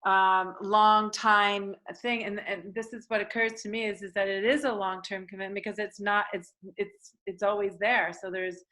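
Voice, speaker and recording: A woman hosting an online group discussion, speaking in a halting, thinking-aloud way as she facilitates. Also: medium pitch, flat delivery, bright, forceful tone. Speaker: host moderating an online panel. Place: online video call.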